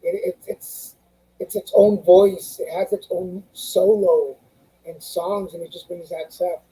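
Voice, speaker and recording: A woman's voice: on the low side, steady tone, a little slow, mellow, low-quality recording. A woman hosting an interview show, asking curious questions in a conversational way. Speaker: show host. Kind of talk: casual interview.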